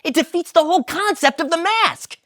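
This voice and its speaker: A woman's voice: extremely high pitch, a little fast, fairly bright tone, voice pushed up. A woman doing a blunt, exasperated woman.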